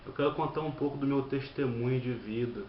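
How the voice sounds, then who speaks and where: A man's voice: mid-range voice, a little fast, a little hiss or hum. A man in his thirties from Brazil, telling his personal testimony as a church member, often repeating words and restarting his phrases. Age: 30s. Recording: dull lo-fi audio in an echoey room.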